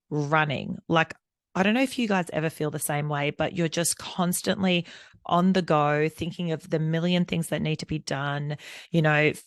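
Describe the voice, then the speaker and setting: A woman's voice: low voice, no room sound, clean studio sound. A woman, hosting a solo podcast in a candid, rambling way with lots of fillers. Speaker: podcast host. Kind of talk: unscripted solo podcast monologue.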